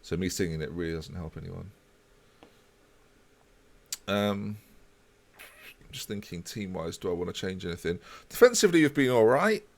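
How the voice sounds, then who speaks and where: A man's voice: low voice, moderate pace, gravelly, long pauses, a little hiss or hum. A man casually chatting with his live-stream viewers while playing a football management game. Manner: relaxed, chatty, talks to chat while playing.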